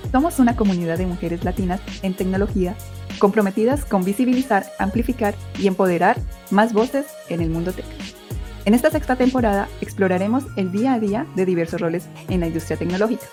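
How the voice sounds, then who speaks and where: A woman's voice: mid-range voice, fast talker, dark tone, faint music behind. A woman hosting a podcast, opening the show and asking friendly questions. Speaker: podcast host.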